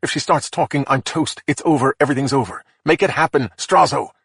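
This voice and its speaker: A man's voice: medium pitch, a little fast, gravelly, fairly bright tone, slightly rough, no room sound, studio quality, voice pushed up. A man voicing a stressed middle-aged man who hisses threats and panics on the phone, in a profane, snarling way. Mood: desperate. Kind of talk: audiobook character voice.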